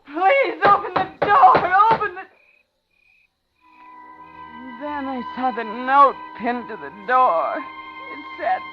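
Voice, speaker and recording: Dull vintage radio sound. frightened female captive, high-pitched, polite on the surface, breathless dramatic narrator of a thriller. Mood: desperate pleading. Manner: dramatic, breathless first-person suspense narration. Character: frightened captive woman, narrating her ordeal, outwardly polite. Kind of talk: 1950s radio thriller acting. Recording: dull 1950s radio drama, loud music.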